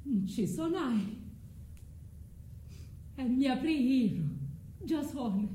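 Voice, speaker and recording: A proud, bitter woman abandoned in a foreign land, speaking with a southern Italian accent. Her voice is fairly high and her delivery slow and theatrical, scornful and vengeful. It rings in an echoey room with faint noise. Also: animated, dark tone, heavily acted. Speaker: actress performing a theatre monologue. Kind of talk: theatrical monologue mixing dialect and Italian.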